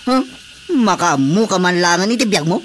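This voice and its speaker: A man's voice: high voice, lively intonation, moderate pace, a bit bright. A man playing a disgruntled, jealous husband who grumbles and complains in an Ilocano-accented radio drama comedy. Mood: bickering. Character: disgruntled husband jealous of his wife's cat. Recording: clearly noisy radio drama recording.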